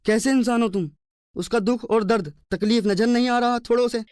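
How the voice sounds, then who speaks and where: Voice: medium pitch, moderate pace, a little mellow, clean tone, dry recording, close to an everyday voice. Someone doing a poor kindhearted village labourer, stubborn husband, cartoon, dry sound. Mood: indignant. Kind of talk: cartoon dialogue.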